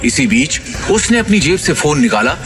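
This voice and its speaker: A man's voice: a little high, very animated, normal speed, faint music behind. A man narrating a scripted audio drama in a dramatic storytelling style.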